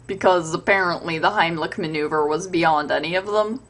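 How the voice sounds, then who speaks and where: A woman's voice: on the low side, moderate pace. A woman host giving an irreverent, sarcastic, sweary comedic retelling of fairytales as scripted narration. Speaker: storyteller and web show host.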